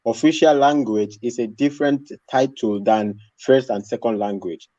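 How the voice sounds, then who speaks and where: A man's voice: mid-range voice, normal speed, dark tone, dry recording. A man making his case on a live panel, insistent and repeating his points. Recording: dull lo-fi sound.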